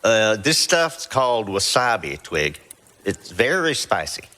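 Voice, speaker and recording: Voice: mid-range voice, big pitch swings, a little slow, bright, forceful tone. Someone doing a chill spacer cook guy, kinda hesitant, casual fan fiction drama voice, roomy reverb. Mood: explanatory. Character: laid-back, hesitant spaceship crewman who cooks, male.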